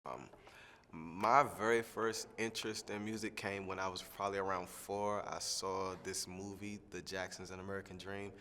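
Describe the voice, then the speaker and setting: A young man, a singing contestant, answering interview questions in a relaxed, rambling way with lots of fillers. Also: low voice, normal speed, in a large hall, slight background noise. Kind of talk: press junket soundbite interview.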